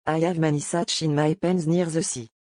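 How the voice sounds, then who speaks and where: A woman's voice: low-pitched, fairly even intonation, normal speed, darkish tone, no room sound, clean studio sound, close to an everyday voice. Audiobook villain, played by a woman: male slave trader, smug, condescending, formally polite, menacing. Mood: cold, courteous.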